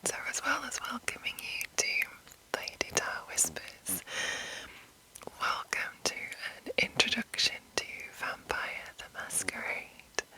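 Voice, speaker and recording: A woman host whispering in an unhurried, informal, rambling ASMR style as she gives an introduction. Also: deep voice, pushed and bright, poor audio.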